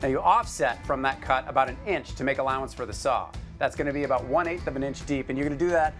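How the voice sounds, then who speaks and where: A man's voice: mid-range voice, quick pace, soft background music. A man in his thirties, a guest host on a TV studio set, explaining a woodworking project step by step in an easygoing, joking way on a lapel mic. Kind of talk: casual TV how-to chat. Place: TV studio living-room set.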